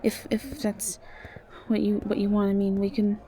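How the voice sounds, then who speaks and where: A woman's voice: medium pitch, level tone, normal speed, warm tone, slight background noise, lightly acted. A woman playing a shy, sweet, anxious young academy student in an audio roleplay, stammering nervously and apologizing as she goes. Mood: flustered, hopeful. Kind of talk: audio roleplay acting, addressed to the listener.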